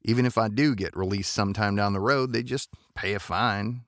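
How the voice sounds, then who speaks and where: A man playing a gravelly, courtly space cowboy. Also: lowish voice, a little fast, no echo, clean studio sound. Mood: musing. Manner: drawling folksy cowboy idiom, gentlemanly.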